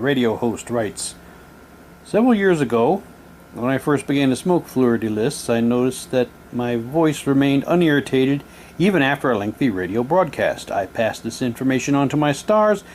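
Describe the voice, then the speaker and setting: A man with a mid-pitched voice presents items in a casual, unpolished way. He moves between informal commentary and reading aloud, and there is some audible noise behind him. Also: expressive, moderate pace, a little mellow. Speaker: presenter showing audio drama props. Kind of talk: casual show-and-tell, reading prop documents aloud. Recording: noticeable background noise.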